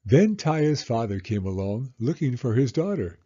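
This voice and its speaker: A man reading a children's story aloud in an animated, deliberate narrating style. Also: medium pitch, muffled recording. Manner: expressive, deliberate, drawn-out phrasing.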